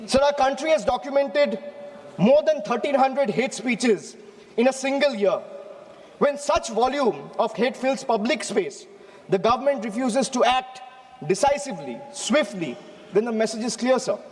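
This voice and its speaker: A man's voice: extremely high pitch, expressive, normal speed, long reverb tail, poor audio. A man with an Indian accent giving an emphatic, rhetorical speech in parliament, repeating phrases for effect. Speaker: member of parliament. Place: large parliament chamber.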